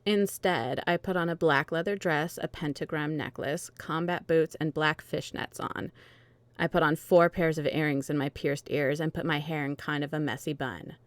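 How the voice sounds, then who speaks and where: Woman performing a teenage goth witch narrator, low pitch, dry sound, melodramatic fan-fiction reading. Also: steady tone, moderate pace, no room sound, natural voice. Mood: matter-of-fact.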